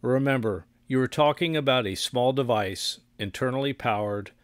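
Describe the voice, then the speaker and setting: A middle-aged man presenting radio equipment in scripted technical narration, with measured, formal and precise wording. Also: medium pitch, no room sound.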